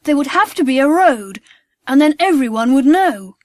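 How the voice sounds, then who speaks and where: Man voicing high-pitched, earnest storybook schoolchildren. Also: sing-song, normal speed, no room sound, studio quality. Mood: puzzled. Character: excitable adventurous British schoolchildren in an audiobook story.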